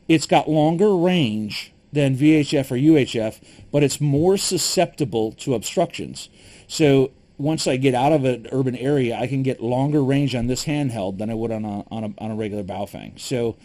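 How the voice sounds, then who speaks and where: A middle-aged man with a Michigan accent, casually demonstrating a handheld radio, full of fillers and restarts. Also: mid-range voice. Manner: relaxed, lots of fillers and restarts, matter-of-fact. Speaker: preparedness gear reviewer.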